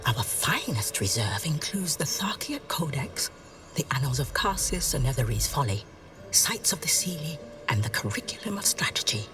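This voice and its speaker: A woman playing a haughty, secretive keeper of arcane books in a video game, hushed and coolly condescending. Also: low voice, big pitch swings, moderate pace, recorded from a distance, over music. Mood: conspiratorial, smug. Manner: hushed, disdainful, clipped politeness. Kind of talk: dramatic video game acting.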